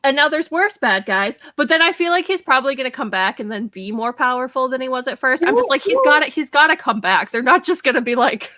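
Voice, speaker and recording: A woman's voice: sits high, expressive, fast, hardly any pauses, sharp tone, no echo, clean studio sound. A woman chatting casually in a live book discussion, very colloquial and acting out reactions as she goes.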